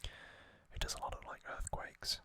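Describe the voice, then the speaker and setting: Slow, whispering male ASMR host. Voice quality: breathy unvoiced whisper. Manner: soft, unhurried, informal explaining. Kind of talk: whispered ASMR trivia storytelling.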